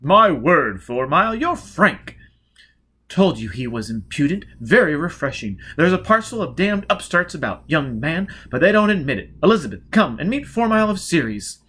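Casting: pompous society gentleman acted by a man narrator, blustering, high and animated, storybook reading. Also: normal speed, breathy edge, heavily acted. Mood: amused bluster. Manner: pompous, exclaiming. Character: blustering, pompous male aristocrat, astonished and amused.

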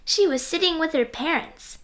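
A woman's voice: extremely high pitch, normal speed. A woman reading a children's storybook aloud expressively, acting out the sound effects. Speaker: reader of children's books.

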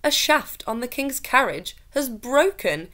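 A man's voice: very high-pitched, very animated, unhurried, pushed and bright, voice pushed up, heavily acted. A woman reading a storybook as a brusque, commanding, menacing army major. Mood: brisk, urgent.

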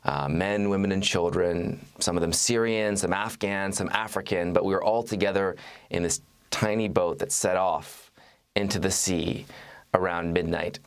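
A man in his thirties speaking as an interview guest, recounting his reporting in a measured way with halting restarts. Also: low-pitched, clear, resonant tone. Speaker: guest, journalist and author. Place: TV studio. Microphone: lapel mic.

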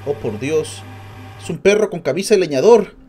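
A man's voice: on the high side, animated, moderate pace, a crowd in the background. A man giving casual reaction commentary, rambling off into joking asides. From Peru. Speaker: streamer reacting to a video.

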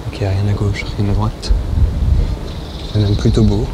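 An actor playing a wary lone disaster survivor who talks to himself in short phrases, in an audio drama. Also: male voice, on the low side, little pitch movement, a little slow, heavy background noise, natural voice. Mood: wary, focused. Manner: muttered self-talk in short phrases. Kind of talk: French audio drama monologue. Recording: loud music behind the voice. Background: loud instrumental music.

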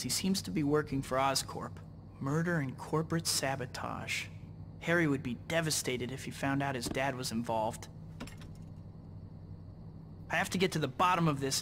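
An actor playing a young, earnest, wisecracking and determined superhero in a video game. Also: male voice, fairly high pitch, normal speed, a little hiss or hum, close to an everyday voice. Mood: resolute. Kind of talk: video game cutscene acting. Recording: loud music behind the voice.

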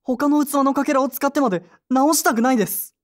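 A woman's voice: high voice, brisk, close to an everyday voice. A woman playing an earnest, sentimental young man who grieves and clings to memories, polite and emotional, in an audio drama. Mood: earnest, wistful. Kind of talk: Japanese audio drama acting.

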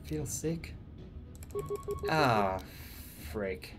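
A man's voice: medium pitch, animated, extremely slow, music in the background. A young man from Finland with a Finnish accent, musing casually while playing a game for his stream viewers. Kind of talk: casual gameplay commentary. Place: small room indoors.

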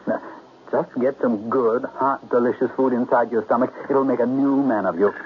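Old radio drama, muffled with faint noise. A man plays a scheming crime boss who talks smoothly and insinuatingly, smug beneath a genial front. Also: medium pitch, fairly even intonation, moderate pace, close to an everyday voice. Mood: falsely genial. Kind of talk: 1970s radio drama acting. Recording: muffled, noisy 1970s radio recording.

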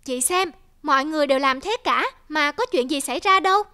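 A woman's voice: high voice, brisk, pushed and bright. A woman voicing a vain, eager young squirrel in a children's cartoon, exclaiming in a boastful, childlike way.

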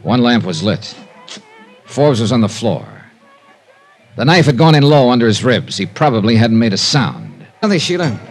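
An actor playing a wry, hard-boiled insurance investigator with a dry delivery in a 1950s radio crime drama. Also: male voice, medium pitch, sing-song, moderate pace. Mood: dry, tense. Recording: old 1950s radio recording with music.

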